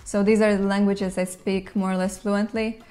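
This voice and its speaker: A young woman from Latvia whose first language is Russian, speaking English in a relaxed question-and-answer video, thoughtful with light laughs, on a lapel mic in a bright indoor room. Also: mid-range voice, moderate pace. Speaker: language channel host. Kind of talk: casual Q&A to camera.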